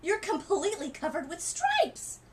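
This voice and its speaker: A woman's voice: extremely high pitch, huge pitch swings, unhurried, background noise, voice pushed up, full character voice. A woman voicing a fretful, bossy mother in a children's storybook, exclaiming and giving orders. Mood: shocked.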